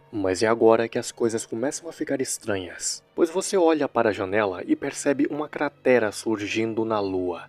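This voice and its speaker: A man's voice: fairly low pitch, darkish tone. A Brazilian man narrating a story recap in a casual commentary style, with wry, joking asides. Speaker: narrator of a horror series recap video.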